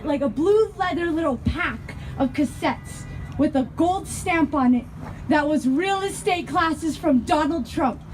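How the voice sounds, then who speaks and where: A young woman from Denver performing spoken word poetry, rhythmic and impassioned, building to shouted lines. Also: extremely high pitch, slight background noise. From Denver, Colorado.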